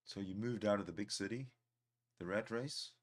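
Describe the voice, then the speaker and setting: A man's voice: fairly low pitch, moderate pace. A man from South Africa with a South African accent, chatting casually. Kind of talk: casual chat.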